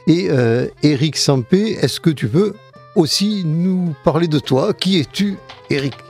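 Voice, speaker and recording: Guy hosting a radio show, bit high, gravelly voice, faint music under him. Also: expressive, unhurried, darkish tone. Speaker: radio host. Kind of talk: radio interview and event announcements.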